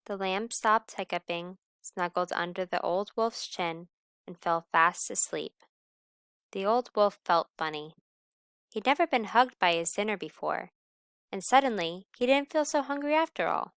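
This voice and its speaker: A woman narrating a children's picture book aloud in an expressive storyteller's style. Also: mid-range voice, unhurried, clean tone, no echo, studio quality.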